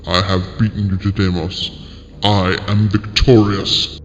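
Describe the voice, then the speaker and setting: A man's voice: low voice, level tone, measured pace, faint music behind. A man playing a gloating demon villain. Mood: menacing. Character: ruthless male demon villain, cold and proud. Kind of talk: fan audio drama acting. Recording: echoey hall, muffled, noisy.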